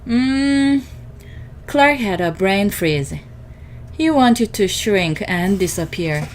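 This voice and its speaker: Slow, animated woman reading a children's story. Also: fairly low pitch, slight background noise. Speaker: storybook reader. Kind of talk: expressive read-aloud narration for children.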